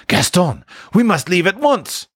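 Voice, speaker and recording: A man's voice: mid-range voice, huge pitch swings, normal speed, no room sound, clean studio sound. A man voicing an earnest, proud male mouse in a storybook, with dramatic, exclamatory delivery. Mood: distressed.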